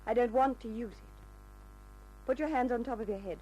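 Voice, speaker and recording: Breathy, dramatic radio femme fatale. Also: female voice, on the high side, moderate pace, dark tone, poor audio. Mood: tense, commanding. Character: seductive, desperate glamorous female fashion model. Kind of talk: old-time radio crime drama acting.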